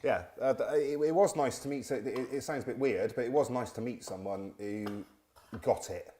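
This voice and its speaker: A man's voice: mid-range voice, moderate pace, warm tone. A middle-aged man who is a guest on a cooking show, chatting casually, hesitant and repeating words. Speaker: guest musician. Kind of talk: casual chat.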